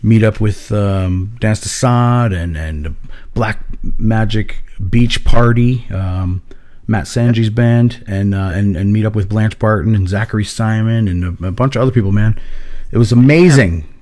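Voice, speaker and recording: A man co-hosting a podcast, telling rambling stories in a hoarse voice, swearing freely and laughing often. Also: on the low side, unhurried, a little mellow. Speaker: podcast co-host. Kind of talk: casual chat.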